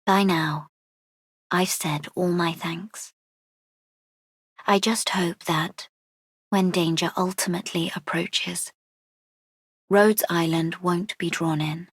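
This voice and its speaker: An actress voicing a solemn, devoted woman operative in a video game, with a breathy, hushed voice. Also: lowish voice, steady tone, takes it slowly, long pauses, dry recording, studio quality. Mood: solemn, tender. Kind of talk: video game character voice lines.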